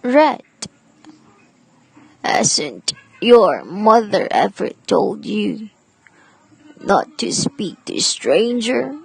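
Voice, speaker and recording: A woman performs an elderly storybook granny, firm yet fond. The voice is heavily creaky and rough, dragging very slowly with swooping intonation, as in a radio play. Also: medium pitch, big pitch swings, heavily acted, poor audio. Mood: stern, scolding. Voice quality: creaky, raspy. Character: stern but kindly old granny. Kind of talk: amateur radio drama acting.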